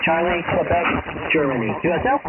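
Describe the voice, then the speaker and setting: A man's voice: a little high, a little fast, phone-quality sound. Man on a thin, noisy radio link. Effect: radio transmission. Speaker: amateur radio caller. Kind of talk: casual ham radio exchange.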